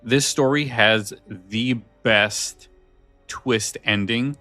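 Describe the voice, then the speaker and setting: Bright-voiced man chatting on a podcast. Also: mid-range voice, drawn-out words, no echo, soft background music. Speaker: podcast co-host. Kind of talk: casual chat about scary stories.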